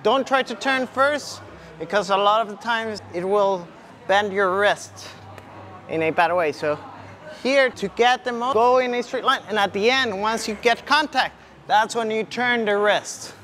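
The high-voiced young man you'd hear coaching striking drills on a gym floor, with some room noise around him. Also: measured pace, fairly bright tone. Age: young adult. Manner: demonstrating punches while talking. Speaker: kickboxing coach. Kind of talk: instructional demonstration with short cues. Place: large indoor MMA gym. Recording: clearly audible background ambience noise.

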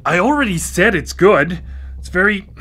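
A man's voice: high voice, expressive, normal speed, sharp tone, husky, faint music behind, full character voice. A male actor in a radio play playing a dependent, easily rattled brother with a hesitant, emotional delivery. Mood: uneasy. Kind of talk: live radio play acting.

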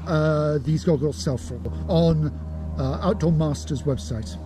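A man's voice: a little high, measured pace, over music. A man chatting casually about gear he reviews, filling his talk with ums and uhs. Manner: rambling, many ums and uhs. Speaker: gear reviewer and channel host. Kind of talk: casual product review chat.

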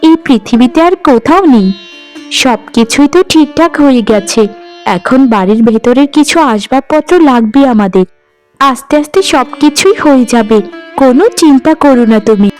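A woman's voice: sits high, moderate pace, darkish tone, soft background music, natural voice, poor audio. A woman voicing a sweet, contented young village wife in a cartoon, agreeable and soothing. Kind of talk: cartoon voice acting.

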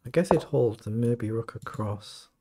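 Croaky man muttering through a chess game. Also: mid-range voice, measured pace, warm tone. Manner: thinking aloud, muttering over moves. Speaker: chess streamer. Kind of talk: live game commentary.

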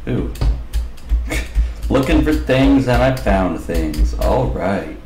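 A man with a slightly raspy voice giving casual commentary over his gameplay, mumbling asides as he plays. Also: lowish voice, expressive, drawn-out words, a little mellow, roomy sound, soft background music. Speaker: gaming channel host.

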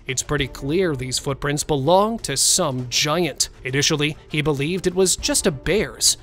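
A man reading a scripted countdown of strange sightings, with dramatic pauses and questions to the viewer. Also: on the high side. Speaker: narrator. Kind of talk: scripted narration.

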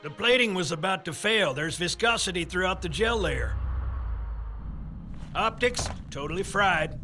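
A fussy armor technician. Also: male voice, sits high, lively intonation, a little slow, bright, forceful tone, faint music behind, heavily acted. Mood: exasperated.

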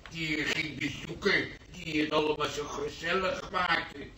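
An actor playing an old, easily agitated grandfather in a radio play, rambling and repeating himself haltingly. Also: a little high, steady tone, bright, forceful tone. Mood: agitated, weary. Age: older. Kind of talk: radio drama acting. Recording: roomy, distant, faintly noisy old radio recording.

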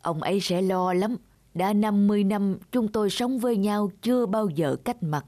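An actress playing a gentle, devoted elderly wife in a cartoon, speaking earnestly and tenderly. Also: female voice, mid-range voice, normal speed, no room sound, natural voice. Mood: earnest, wistful. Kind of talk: cartoon dub acting.

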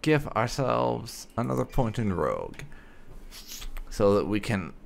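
A man's voice: mid-range voice, very animated, unhurried, creaky, slight background noise. A man giving gameplay commentary on a role-playing game, speaking bluntly and with some swearing. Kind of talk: gameplay commentary, partly in character.

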